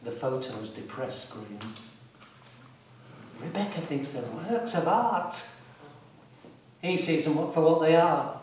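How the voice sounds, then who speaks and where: Male narrator for live story drama, slightly high voice, deliberate delivery, very reverberant and noisy recording. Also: big pitch swings, a little mellow. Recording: distant, very echoey hall, clear noise.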